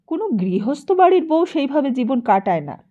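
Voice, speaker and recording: A woman voicing a smooth-talking, meddling gentleman who moralises and flatters in an audiobook. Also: high-pitched, moderate pace, dull audio, voice pushed up. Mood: feigned indignation. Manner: ingratiating, moralising.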